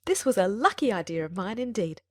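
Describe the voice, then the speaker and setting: A woman voicing a fussy, excitable, scheming middle-aged mother who exclaims and dismisses in a dramatized audiobook. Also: mid-range voice, fairly quick, dry recording, clean studio sound, lightly acted. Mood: smug.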